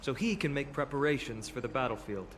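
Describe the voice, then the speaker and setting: An actor playing an earnest, resolute young warrior hero in an anime fantasy game. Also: male voice, mid-range voice, expressive, fast, big-room sound, noisy background, close to an everyday voice. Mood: determined, strategic.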